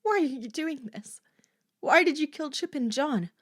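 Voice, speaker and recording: Woman playing a shrill frightened teenage girl. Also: expressive, measured pace. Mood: pleading. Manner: high, shrill cries of fear.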